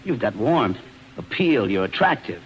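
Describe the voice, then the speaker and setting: An actor playing a brash, smooth-talking show boss, teasing, cocky and bossy, in 1940s radio acting style. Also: male voice, mid-range voice, very animated, normal speed, natural voice. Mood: flirting, cocky. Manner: wisecracking, cocky. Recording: noisy 1940s radio broadcast recording.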